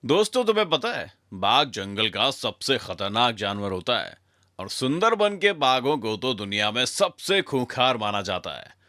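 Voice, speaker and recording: A man's voice: mid-range voice, big pitch swings, moderate pace, pushed and bright, dry recording, clean studio sound. A man narrating a scripted children's story in a dramatic, direct way as a storyteller.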